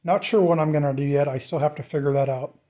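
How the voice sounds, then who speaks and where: A man giving a casual, off-the-cuff walkthrough of a DIY project, informal and thinking out loud as he goes. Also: fairly high pitch, steady tone, quick pace. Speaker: DIY vlogger presenting a build.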